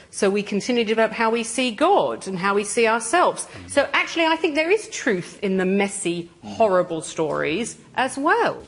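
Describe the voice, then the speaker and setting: Husky woman with animated, insistent delivery. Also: mid-range voice. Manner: firm, insistent. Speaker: panel guest. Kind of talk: panel debate argument.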